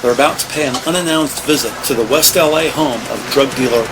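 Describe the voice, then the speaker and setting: A man's voice: medium pitch, a little fast. A man reading scripted narration for a crime audio drama. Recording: noisy ambient sound bed.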